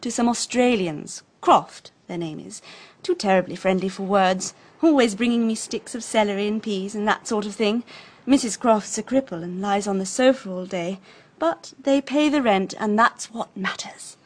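A woman's voice: mid-range voice, normal speed, natural voice. A woman acting in a radio drama as a breezy, flippant young society lady with an upper-class English accent, airy and drawling. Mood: dismissive, gossipy. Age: young adult.

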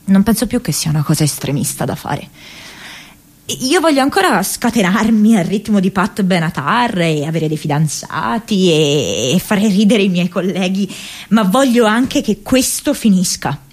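An actress performs an earnest young woman activist in a radio monologue, with a medium-pitched voice. She speaks with distressed conviction, halting, repeating herself and breaking off words as she presses her case. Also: expressive, natural voice. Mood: frightened, weary. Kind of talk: dramatic radio monologue from diaries and letters.

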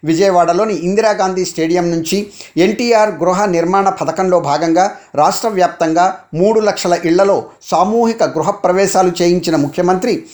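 Guy w high voice reading formal radio news, scripted bulletin style. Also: darkish tone. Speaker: radio newsreader.

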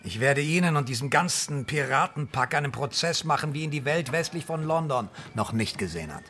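An actor playing a pompous, vengeful colonial governor in a German radio drama, grandiose and gravelly, savouring his words. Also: a little high, expressive, a little fast, sharp tone, slight background noise. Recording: faint music behind.

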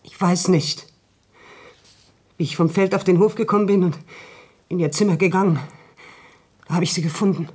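An actress playing a shaken, plain-spoken country woman in a German radio play, speaking in a halting, subdued way with a husky voice. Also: fairly low pitch, a little mellow. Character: shaken, subdued country woman reporting her sister's death.

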